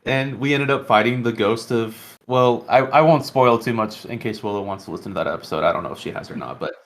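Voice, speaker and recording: A man's voice: medium pitch, a little fast. A man hosting a podcast, chatting casually and enthusiastically about tabletop games. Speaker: podcast host.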